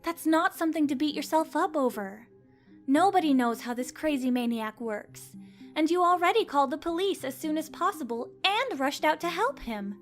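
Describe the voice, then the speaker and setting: Casting: actress as caring, teasing young female friend, high bright-girl register, swooping intonation, audio drama. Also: moderate pace, faint music behind. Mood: concerned. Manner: chiding, expressive.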